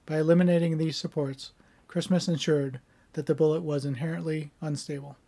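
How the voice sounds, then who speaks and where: A middle-aged man in a home room with bookshelves, speaking with a somewhat monotone delivery, first chatting casually, then reading scripted history narration. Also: a little high, brisk. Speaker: history video creator.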